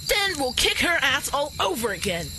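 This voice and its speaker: A brash, hot-headed young fighter, as a performer voices them, with a fairly high voice that bounces up and down. They speak in excitable, quick-tempered bursts, with loud crickets around them. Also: noisy background. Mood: excited. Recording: night ambience with loud crickets.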